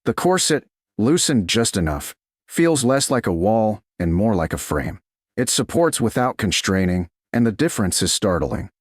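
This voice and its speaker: Low-voiced man narrating a slow romance. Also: very animated, no room sound, studio quality. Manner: slow, hushed, deliberate reading.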